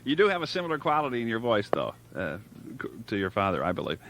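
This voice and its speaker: A man's voice: lowish voice, wildly swooping pitch, fast, a bit bright, a little hiss or hum, rough recording. A man hosting a live call-in radio show, talking in a rambling way and searching for words as he goes. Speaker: radio talk show host.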